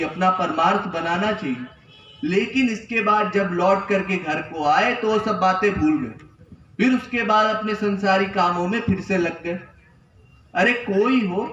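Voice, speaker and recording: A man's voice: high voice, a bit bright, slight background noise. A young man giving an earnest spiritual discourse, teaching through repetition and rhetorical questions. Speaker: spiritual preacher. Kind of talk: Hindi religious discourse. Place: temple hall. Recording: echoey, distant, dull lo-fi sound.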